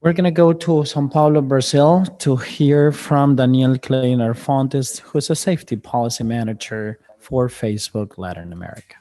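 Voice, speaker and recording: A man's voice: mid-range voice, moderate pace, few pauses, dark tone. A man giving a live interpretation of a panel moderator who thanks a speaker and introduces the next one, with loose and hesitant phrasing.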